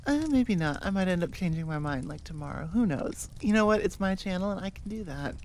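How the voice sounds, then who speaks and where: A woman's voice: lowish voice, lively intonation, brisk, darkish tone, slight background noise. A woman chatting casually and unscripted as the host of her audio channel, rambling in a playfully self-mocking way. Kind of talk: casual unscripted outro chat.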